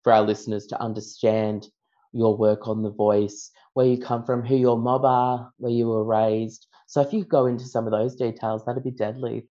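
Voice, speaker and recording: Enthusiastic Australian male podcast host, welcoming, mid-pitched, easygoing delivery. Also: flat delivery, moderate pace, warm tone, no room sound, muffled recording. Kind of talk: podcast interview.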